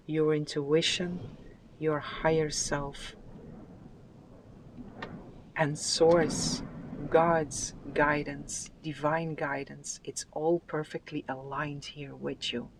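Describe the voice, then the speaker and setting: Slow soft-spoken tarot reader, calm and soothing, goes whispery sometimes, faint hiss behind. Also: mid-range voice, low-quality recording. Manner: soft, soothing, unhurried delivery with drawn-out phrases. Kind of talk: spiritual tarot reading with affirmations.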